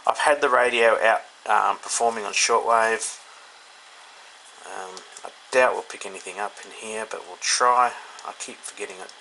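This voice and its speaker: Aussie guy doing a casual radio review at home, bright voice, thinks out loud, some noise in the background. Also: on the low side, normal speed, low-quality recording. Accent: Australian. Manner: informal, hesitant, thinking aloud while demonstrating. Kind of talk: casual unscripted product review. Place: indoors, in a room. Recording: clear background noise, indoor home recording.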